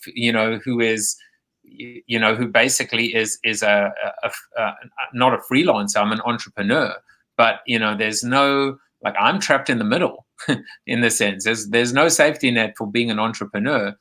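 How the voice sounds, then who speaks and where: A South African man hosting a live video call show. His voice is bright and forceful, and he rambles with frequent restarts. He speaks at a middling pitch. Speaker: host. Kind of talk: live online interview show. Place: home, remote video call.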